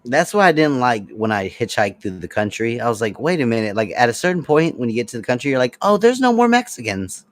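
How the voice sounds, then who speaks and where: A man's voice: medium pitch, very animated, fast, hardly any pauses, no echo. A young man streaming, chatting casually in a laid-back, rambling way and laughing often. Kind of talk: casual group chat.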